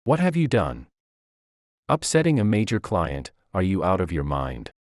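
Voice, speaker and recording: A man's voice: low-pitched, wildly swooping pitch, normal speed, no room sound, studio quality. A man voicing an alarmed, pleading middle-aged bank president in a narrated story. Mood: outraged.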